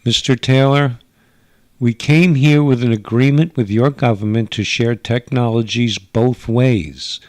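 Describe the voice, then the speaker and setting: A man's voice: mid-range voice, darkish tone, no echo, lightly acted. A man playing a formal, earnest alien mother. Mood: earnest, pleading. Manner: formal, careful foreigner's wording, halting for words. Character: Kim, stern alien mother, earnest and pleading. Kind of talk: radio drama narration with character voices.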